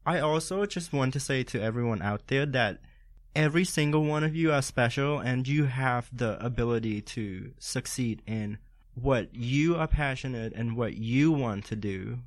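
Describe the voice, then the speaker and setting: A man's voice: mid-range voice, normal speed, no room sound. A man speaking in an encouraging, earnest way in a casual chat.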